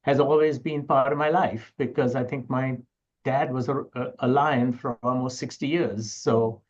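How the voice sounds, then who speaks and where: A man's voice: medium pitch, steady tone, moderate pace. Sri man, slightly dull audio. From Sri Lanka. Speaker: interview guest, university educator and researcher. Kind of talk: conversational interview answers.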